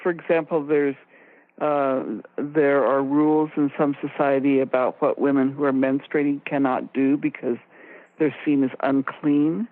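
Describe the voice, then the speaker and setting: A woman co-hosting a radio discussion, explaining ideas in a measured way and stressing her key points. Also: extremely low pitch, level tone. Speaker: radio show co-host and expert. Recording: narrow phone-like line, very dry.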